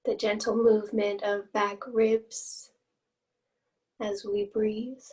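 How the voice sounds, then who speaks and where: Slow-paced woman guiding meditation, slightly distant. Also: medium pitch, little pitch movement. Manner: gentle invitational phrasing with long pauses. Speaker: meditation guide. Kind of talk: guided meditation, slow and instructional. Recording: voice distant from the mic.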